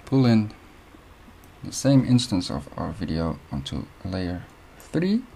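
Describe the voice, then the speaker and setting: Young Dutch-accented man, low gravelly voice, calm screen-recorded tutorial over faint hiss. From Netherlands. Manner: steady step-by-step instruction with short pauses. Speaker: video editing tutor.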